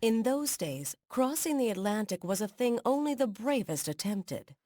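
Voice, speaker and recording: An actress playing a solemn, measured storyteller narrating a historical adventure in a strategy game. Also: female voice, on the high side, sing-song, warm tone, no echo. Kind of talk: scripted narration, game cutscene.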